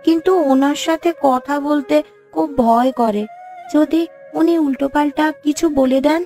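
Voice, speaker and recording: A woman voices an earnest young village boy in a cartoon fairy tale. The voice is on the high side, thoughtful and explanatory, with music playing underneath. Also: moderate pace, dark tone. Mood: thoughtful, anxious.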